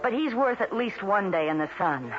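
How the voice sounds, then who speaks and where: An idealistic young newspaper woman, played by an actress in a seventies radio play. She is sassy and earnest, with lively swings in pitch. The old broadcast is muffled, with music behind. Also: natural voice. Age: young adult. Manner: earnest, quick-witted, sassy. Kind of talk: 1970s radio drama acting.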